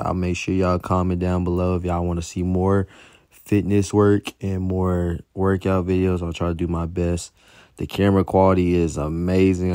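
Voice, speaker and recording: A man's voice: low voice, dark tone, no room sound, studio quality. A young American man giving a casual motivational fitness voice-over in a slangy, encouraging way. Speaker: fitness vlogger.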